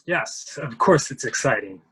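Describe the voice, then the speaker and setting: A man's voice: medium pitch, lively intonation, a little slow, breathy edge, exaggerated voice. A man playing a genteel, blustering army major with formal, hedging speech in a murder mystery reading. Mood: sympathetic, formal. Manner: formal, hedging, old-fashioned gentlemanly phrasing. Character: blustering, genteel military major running for Congress.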